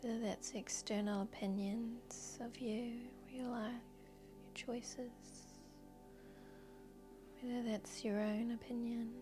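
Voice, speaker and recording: A woman guiding a meditation in a soft, breathy, whispery voice, speaking gently and soothingly with long unhurried pauses. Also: on the high side, flat delivery. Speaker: yoga nidra guide and host. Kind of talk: guided meditation narration. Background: background music.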